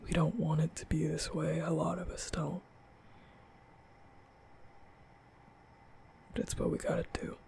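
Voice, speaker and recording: A man playing a nervous, apologetic young vampire in an intimate ASMR roleplay, speaking breathily and often half-whispering, halting and stammering. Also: fairly high pitch, completely flat delivery, gravelly, a little mellow. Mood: weary, frustrated. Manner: halting, stammering, rambling, sighing. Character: nervous, apologetic young male vampire, guilt-ridden and soft.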